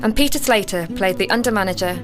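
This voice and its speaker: A woman's voice: mid-range voice, fast talker, over music. A woman announcer reading scripted radio drama credits. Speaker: continuity announcer.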